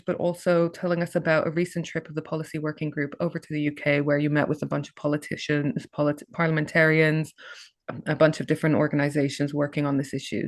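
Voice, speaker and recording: A woman hosting a webinar, introducing a guest in a friendly, halting way with many fillers. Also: low-pitched, dry recording, clean studio sound. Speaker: webinar host.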